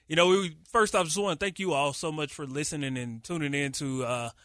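Man, sports podcast co-host, bright forceful tone, fairly high voice, animated chat. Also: a little fast, no room sound, studio quality. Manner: animated, hype-filled delivery with many restarts. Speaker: podcast co-host, radio producer.

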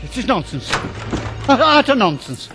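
High, swooping, crotchety old miser. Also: male voice, sing-song, measured pace, music in the background, full character voice. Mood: scoffing. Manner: spluttering, stammering, exclamatory. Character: crotchety old miser, frightened but stubbornly scoffing. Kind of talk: radio theatre acting.

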